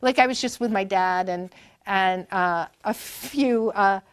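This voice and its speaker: A woman in her fifties from Maine speaks in a middle-pitched voice, recorded dry and close. She rambles cheerfully through family stories, full of "um"s and restarts, as a guest at a library talk. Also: unhurried, clean tone, no room sound. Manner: chatty, rambling with many fillers and restarts. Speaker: performer and guest. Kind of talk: conversational interview storytelling.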